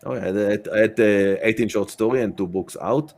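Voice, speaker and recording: A man speaking as a podcast guest in a casual interview, with hesitant, halting phrasing. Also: on the low side, vocal fry, a little mellow. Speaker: podcast guest, science fiction author.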